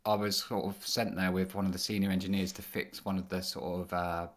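A man's voice: low voice, brisk. A man, a podcast guest, answering casually and loosely with many fillers. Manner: relaxed, rambling with many fillers. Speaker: podcast guest, engineering manager.

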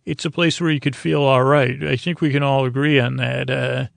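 A man with a gravelly voice hosting a bedtime podcast, droning through a halting, meandering monologue full of stammered repeats and doublings back. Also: medium pitch, little pitch movement, dry recording. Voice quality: gravelly, creaky. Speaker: podcast host. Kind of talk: rambling, meandering bedtime monologue meant to lull listeners to sleep.

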